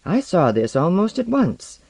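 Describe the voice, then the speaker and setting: A reader acting out a patient man aboard a spaceship in an audiobook. He is reserved and measured, confiding warily, in a dry, close sound. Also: medium pitch, big pitch swings, gravelly, darkish tone, no room sound, voice pushed up, full character voice. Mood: suspicious.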